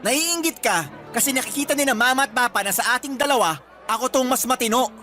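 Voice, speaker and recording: A conceited young graduate who looks down on his older brother. The voice is very high for a man, Filipino-accented, cocky and taunting. Music runs underneath in classic radio drama fashion. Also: quick pace, pushed and bright. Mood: taunting anger. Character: arrogant, mocking younger brother in his twenties, fresh graduate.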